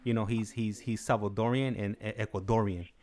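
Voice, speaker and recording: A man hosting a casual livestream, talking haltingly and repeating himself, with many "you knows". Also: lowish voice, steady tone, crowd noise. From United States, Los Angeles area. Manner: halting, repetitive, peppered with "you know". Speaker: livestream host.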